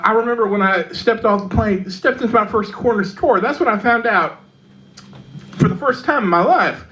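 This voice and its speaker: Texan woman doing deadpan stand-up. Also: fairly high pitch, poor audio. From Texas. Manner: deadpan delivery with frequent fillers and self-laughs. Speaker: stand-up comedian. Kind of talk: stand-up comedy set. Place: pub comedy club in London. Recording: live club mic, loud audience laughter.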